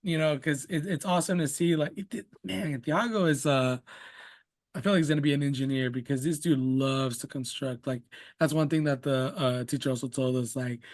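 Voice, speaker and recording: A man's voice: mid-range voice, steady tone, a little fast. A young man, a native Spanish speaker and father, chatting casually about his young son in a rambling way full of "you know" and "like". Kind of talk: casual conversational interview.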